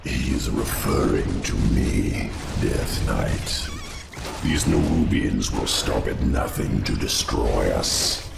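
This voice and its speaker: A man voicing an ancient undead spider lord in a video game, gravelly, grave and portentous in delivery. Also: rumbling low, expressive, slow pace, heavily acted. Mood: grave contempt. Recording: echoing, with loud game noise.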